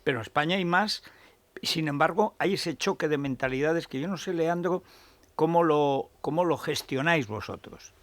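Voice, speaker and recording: A man's voice: on the high side, moderate pace, fairly bright tone, no room sound. A man with a Spanish accent hosting a radio interview, asking chatty, wandering questions. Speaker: radio host.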